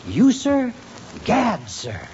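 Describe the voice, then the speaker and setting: A man's voice: very high-pitched, wildly swooping pitch, drawn-out words, heavily acted. A man playing a timid, lonely store clerk who talks meekly and deferentially in a 1950s radio sitcom. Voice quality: meek, deferential. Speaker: umbrella clerk. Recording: muffled old radio recording, background hiss.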